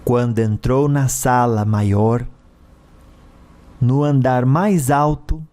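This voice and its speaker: Slow, mellow Brazilian male storyteller. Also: medium pitch. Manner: deliberate, theatrical phrasing with short pauses. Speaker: narrator. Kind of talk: dramatic scripted story narration.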